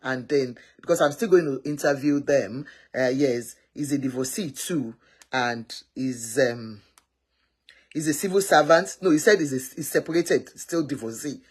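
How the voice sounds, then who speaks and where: A woman's voice: rumbling low, normal speed, breathy edge. A woman hosting a casual live matchmaking stream, rambling and often correcting herself.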